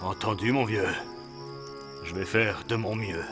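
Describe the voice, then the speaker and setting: A man voicing a gruff, easygoing common workman in an animated fairy tale, with a familiar manner. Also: on the low side, measured pace, over music, close to an everyday voice. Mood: bemused.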